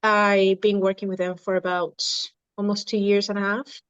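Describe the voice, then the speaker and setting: A woman in her thirties from Venezuela, a dietitian and nutrition coach, answering podcast questions in unhurried, halting speech with many restarts. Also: mid-range voice, level tone, clean tone, no echo, dull audio. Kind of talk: podcast interview.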